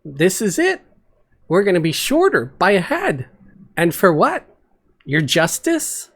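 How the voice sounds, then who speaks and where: A man playing a loud, sardonic, hot-tempered adventurer in a fantasy story, speaking with indignant emphasis. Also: mid-range voice, huge pitch swings, takes it slowly, pitched above the performer's own voice, exaggerated voice. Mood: angry.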